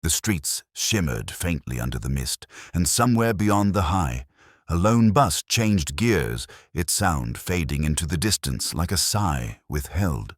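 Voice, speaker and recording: Male actor as older detective narrator for audio drama: extremely low voice, reflective, weary, literary delivery, dry studio sound. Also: very animated, no echo, heavily acted. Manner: measured, literary, melancholic. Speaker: first-person narrator.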